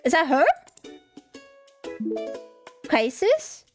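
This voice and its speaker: High, animated woman streaming a visual novel. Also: extremely slow, over music. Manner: expressive, dramatic reactions. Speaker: streamer, VTuber. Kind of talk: livestream visual novel playthrough, reading dialogue aloud with casual reactions.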